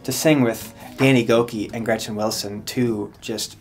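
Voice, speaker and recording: Earnest man over steady background music. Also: medium pitch. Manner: earnest, many filler pauses. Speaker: country singer-songwriter. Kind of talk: casual interview chat. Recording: music playing underneath.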